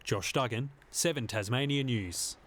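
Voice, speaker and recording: A man reporter reading a scripted news voice-over with brisk, punchy phrasing. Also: medium pitch, a bit bright.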